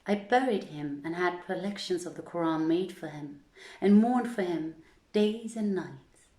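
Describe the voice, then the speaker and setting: Female storyteller, slightly low register, very unhurried theatrical delivery of archaic literary narration, roomy sound. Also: mellow. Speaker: storyteller, reader. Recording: roomy, echoing voice recording.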